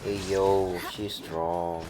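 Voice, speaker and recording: A man's voice: sits low, little pitch movement, very slow, very noisy. A man giving casual gameplay commentary as a streamer. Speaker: game streamer.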